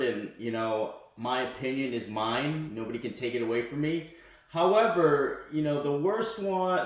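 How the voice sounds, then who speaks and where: A man's voice: mid-range voice, far from the mic. A man with a mid-range voice gives blunt, defiant wrestling commentary, peppered with "um" and "you know". He sounds some way off the microphone, and the room around him rings with a strong echo. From born in South Korea, raised in the United States. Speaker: wrestling channel host and coach. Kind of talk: opinionated solo commentary to camera. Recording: echoey room, voice off-mic.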